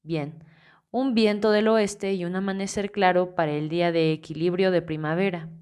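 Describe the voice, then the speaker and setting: A woman reading the lines of a calm, wry older male archmage, in an easygoing, gently teasing way, in an audiobook. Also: fairly low pitch, normal speed, clean tone, close to an everyday voice.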